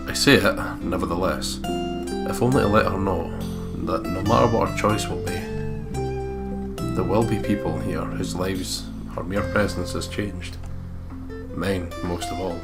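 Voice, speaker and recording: Low male narrator, expressive visual novel read for a let's play, guitar music behind. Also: measured pace. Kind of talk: expressive scripted narration with casual asides. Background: acoustic guitar game soundtrack.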